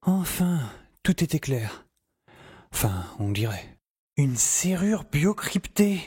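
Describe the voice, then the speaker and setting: A man's voice: a little high, sing-song, slow pace, a little mellow, breathy edge, exaggerated voice. A man playing a young professor, the wry and hesitant hero of an audio drama, who talks colloquially and narrates his own story. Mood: wry, confiding. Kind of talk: audio drama dialogue and first-person narration.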